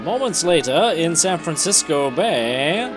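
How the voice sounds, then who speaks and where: Animated man narrating, higher-pitched voice, music behind. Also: a little slow. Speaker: podcast co-host reading narration. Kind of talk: dramatic comedic comic-book reading.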